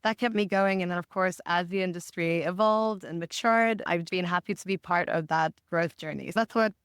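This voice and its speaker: Clear-voiced woman, fairly low, measured. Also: fairly bright tone, no room sound, clean studio sound. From Botswana. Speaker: podcast guest, marketing director. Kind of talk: reflective interview answers.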